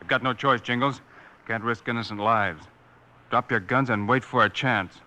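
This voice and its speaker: An actor playing a steady, brave, level-headed Western lawman with an American accent in a 1950s radio drama. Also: medium pitch, moderate pace. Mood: wary. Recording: noisy old radio recording.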